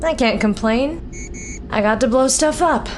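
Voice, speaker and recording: A woman's voice: sits high, measured pace, slight background noise. A woman playing an impish, impulsive young hero with a flippant, playful manner in an audio drama. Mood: smug. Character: impish impulsive female hero who loves explosions. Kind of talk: cartoon-like audio drama acting.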